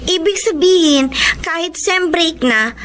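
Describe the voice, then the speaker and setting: A teenage Filipina girl, a sweet and dutiful younger sister. Her voice is very high, eager yet understanding, acted in radio drama style. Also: animated, a little slow, a bit bright, exaggerated voice.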